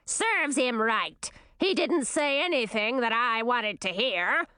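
Woman doing a raspy hag queen, mocking, slow drawl, swooping pitch, storybook. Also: expressive, bright, forceful tone, dry recording, heavily acted. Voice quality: raspy, scornful. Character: cruel cursed queen turned hag, mocking.

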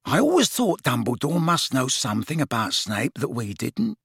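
A man voicing a hushed, doubtful young witch in an audiobook. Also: medium pitch, big pitch swings, moderate pace, vocal fry, slightly rough, dry recording, clean studio sound, full character voice. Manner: whispering.

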